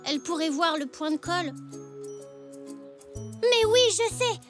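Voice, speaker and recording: A performer voicing an eager, curious little boy zebra in a children's cartoon, excitable and earnest. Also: very high-pitched, a little slow, faint music behind. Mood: worried.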